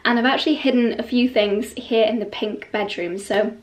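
A woman in her thirties, vlogging to a close camera in a bright bedroom, chatty and gushing, a little stuffy from a cold. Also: fairly high pitch, fairly even intonation, normal speed. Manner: gushing and chatty, with light laughs. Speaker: lifestyle vlogger. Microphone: camera held close.

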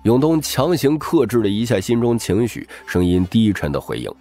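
A man's voice: on the low side, big pitch swings, moderate pace, dark tone, faint music behind. A man reading scripted, dramatic narration as an audiobook narrator.

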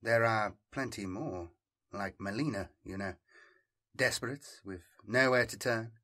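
Man voicing a smug, self-assured fugitive, slightly husky. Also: on the low side, a little slow, pushed and bright. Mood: appraising, persuasive. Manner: superior, teasing, appraising. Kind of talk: audiobook character dialogue.

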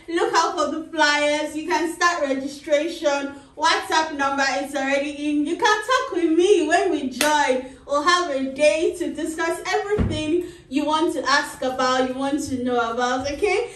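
Nigerian woman in her thirties, lively sewing tutor, high voice, roomy studio sound a little off-mic. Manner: lively, animated, tags phrases with "okay?". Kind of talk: chatty tutorial with announcements. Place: small indoor sewing studio. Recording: roomy, slightly distant.

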